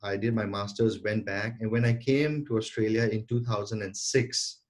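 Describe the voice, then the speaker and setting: Earnest man with a low voice. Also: steady tone, moderate pace. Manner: earnest, often repeats and restarts words. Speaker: guest entrepreneur and sales coach. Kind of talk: conversational interview answers.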